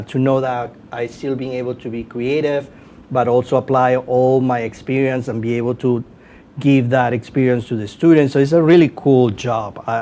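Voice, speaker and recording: Middle-aged Venezuelan man, noisy recording. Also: warm tone. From Caracas, Venezuela. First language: Spanish. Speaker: guest, professor and podcast host. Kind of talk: conversational interview answers. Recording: clear background noise with low rumble.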